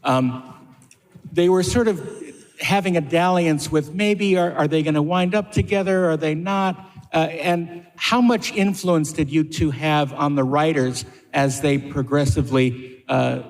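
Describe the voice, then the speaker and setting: A man hosting a live panel, putting questions to guests in halting phrases. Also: fairly high pitch. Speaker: panel host. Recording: reverberant hall.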